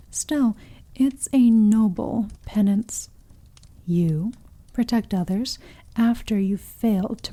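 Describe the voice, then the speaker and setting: A woman voicing a sadistic, possessive vampire noblewoman in an ASMR roleplay, speaking in a breathy voice that often sinks to a whisper, with teasing, condescending menace. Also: medium pitch, warm tone. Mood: mocking, condescending. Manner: slow, teasing, condescending endearments, menacing purr. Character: sadistic, possessive, seductive female vampire lord. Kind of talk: ASMR roleplay, intimate scripted monologue.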